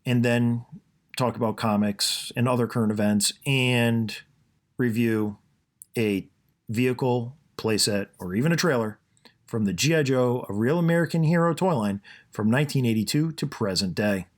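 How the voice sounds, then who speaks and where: A man's voice: mid-range voice, moderate pace. A man hosting his own podcast, talking casually and rambling, with a soothing voice and plenty of fillers and restarts. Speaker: podcast host. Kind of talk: casual solo podcast monologue.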